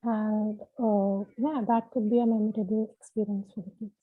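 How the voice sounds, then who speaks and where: A woman taking part in a workshop, answering a question in a halting, casual, reflective way. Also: mid-range voice, flat delivery, dry recording. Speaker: workshop participant. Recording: tinny, dry phone-like line.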